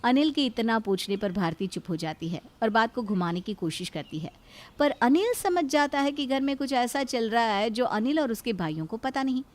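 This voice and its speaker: A woman's voice: a little high, expressive, moderate pace. A woman reading scripted narration for a cartoon moral story as its storyteller.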